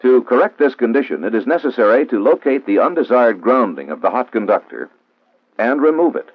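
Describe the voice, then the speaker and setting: An American man reads a scripted instructional narration in a formal, measured, didactic way, in the style of a 1960s training film. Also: medium pitch, very animated, dry recording. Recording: old 1960s film soundtrack, narrow phone-like band, dry.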